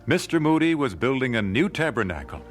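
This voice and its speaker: An actor playing a mild, supportive 19th-century gospel singer in an audio drama, speaking in a gentle, attentive way. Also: male voice, medium pitch, sing-song, a little fast, loud music behind the voice, lightly acted. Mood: narrating, reflective.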